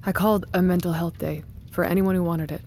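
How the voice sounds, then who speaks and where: An actress playing a guarded, grieving tech founder in a naturalistic audio drama, hushed, measured and hesitant. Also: female voice, fairly low pitch, steady tone, a little hiss or hum, close to an everyday voice. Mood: subdued, tired. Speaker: tech company founder and CEO.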